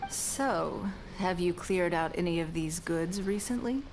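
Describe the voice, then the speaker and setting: An actress voicing a poised, shrewd noble leader in a video game, speaking in a composed, measured, authoritative way, with music playing behind. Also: female voice, lowish voice, a little mellow, a little hiss or hum. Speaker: game character.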